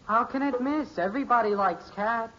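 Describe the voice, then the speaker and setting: Eager, naive teenage son, bright and earnest, 1950s radio comedy, dull noisy recording. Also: male voice, very high-pitched, normal speed. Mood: confident. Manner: earnest, excitable, slangy. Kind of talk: 1950s radio sitcom acting. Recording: muffled, hissy old radio recording.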